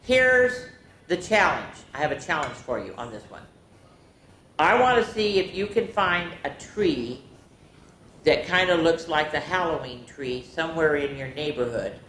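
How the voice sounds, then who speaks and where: A woman hosting a storytime, reading picture books aloud for young children and adding chatty asides. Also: low-pitched. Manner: slow, deliberate delivery with chatty asides to listeners. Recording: echoey room with faint background noise.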